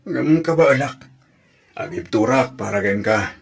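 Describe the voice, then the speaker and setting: A man's voice: on the low side, expressive, measured pace, creaky. An actor playing a devoted young male sweetheart in an Ilocano radio drama, speaking earnestly and reassuringly with an Ilocano accent. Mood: earnest, affectionate.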